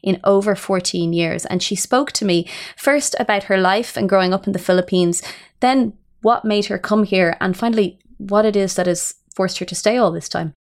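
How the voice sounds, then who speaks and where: A woman reporting and explaining a news story in a measured way. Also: medium pitch.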